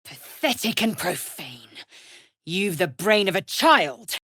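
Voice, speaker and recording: A woman voicing a fierce, proud githyanki warrior woman in a video game, with a husky voice and clipped, imperious, formal speech. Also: lowish voice, very animated, takes it slowly, fairly bright tone, dry recording, clean studio sound, full character voice. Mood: scornful, bloodthirsty. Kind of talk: video game character voice acting.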